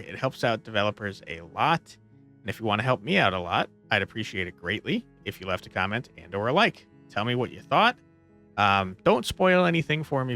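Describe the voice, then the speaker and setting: A man's voice: on the low side, bright, forceful tone. A man hosting a gaming video with casual, informal, enthusiastic commentary. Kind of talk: casual game commentary, sometimes reading game text aloud.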